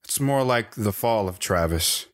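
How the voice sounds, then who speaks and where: A man's voice: fairly low pitch, lively intonation. An actor playing a world-weary, polite wandering man, cryptic and reflective, in an audio drama. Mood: wistful. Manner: measured, reflective, reserved.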